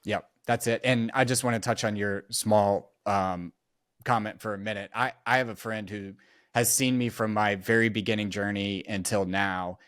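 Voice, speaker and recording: A man's voice: on the low side, fairly even intonation, normal speed, a bit bright, dry recording, clean studio sound. A man guesting on a podcast as a real estate investor, easygoing, agreeing often and telling anecdotes. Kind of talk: casual interview chat.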